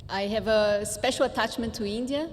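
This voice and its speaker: A woman's voice: on the high side, normal speed. A woman from Brazil with a Brazilian accent, giving an earnest, reflective conference talk with hesitant restarts. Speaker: conference speaker. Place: large conference hall. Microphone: handheld microphone. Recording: reverberant hall, faint background noise.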